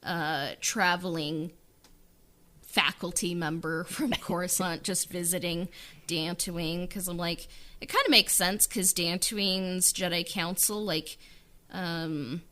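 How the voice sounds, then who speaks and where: A woman's voice: on the low side, bright, forceful tone. A woman co-hosting a podcast, talking in a rambling casual way with many fillers about writing an audio drama. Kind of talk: casual behind-the-scenes chat.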